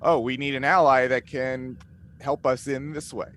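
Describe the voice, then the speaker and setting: Male panelist, discursive and halting, conversational delivery, slightly noisy video-call sound. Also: mid-range voice, flat delivery, a bit bright. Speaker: cast member and game master. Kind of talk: casual panel chat.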